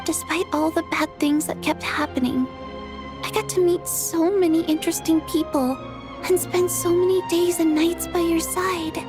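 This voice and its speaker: Gentle game ghost girl, high and soft, resigned, faint music. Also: moderate pace. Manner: soft, calm, polite. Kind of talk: video game voice acting.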